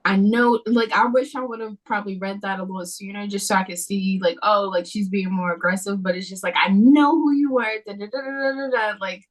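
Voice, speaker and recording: A woman's voice: medium pitch, fairly even intonation, a little fast, hardly any pauses, poor audio. A woman giving casual feedback on a script over a video call, speaking in loose, informal, slangy phrasing. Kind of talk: casual chat.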